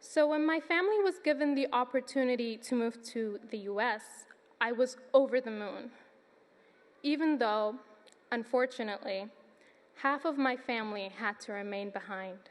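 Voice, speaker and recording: A nineteen-year-old woman from Sudan, giving an earnest, measured student speech at a graduation ceremony. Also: fairly high pitch. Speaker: student commencement speaker. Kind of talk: prepared speech. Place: large hall. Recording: long reverb of a large hall.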